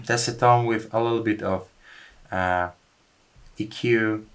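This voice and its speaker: Low-voiced man explaining a music track. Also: fairly even intonation, slow pace, vocal fry. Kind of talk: casual studio walkthrough.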